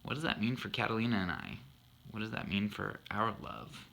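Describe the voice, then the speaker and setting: A man's voice: on the low side, very animated, a little fast, vocal fry, sharp tone. A man in his thirties explaining a poem he wrote for his wife, earnest and thoughtful with a self-deprecating humor. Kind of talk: reflective spoken monologue.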